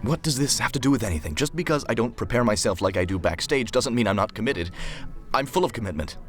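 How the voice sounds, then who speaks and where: A man's voice: mid-range voice, expressive, fast talker, soft background music, natural voice. A man playing a brooding, self-doubting actor and conspirator in a student film drama, intense and defensive. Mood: troubled, defensive. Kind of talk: student film drama acting, reciting verse.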